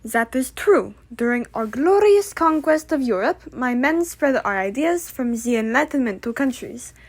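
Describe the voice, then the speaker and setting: A woman's voice: high voice, animated, moderate pace, breathy edge, exaggerated voice. A woman playing a boastful, touchy Napoleon as a pompous guest in a comedic puppet interview. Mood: proud. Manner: pompous, indignant, sarcastic.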